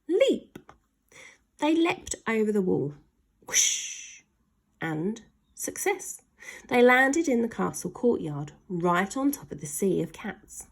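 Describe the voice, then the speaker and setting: Husky woman reading stories very expressively. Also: mid-range voice. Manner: dramatic, emphasises sound words. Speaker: storybook reader. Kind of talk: expressive children's story narration.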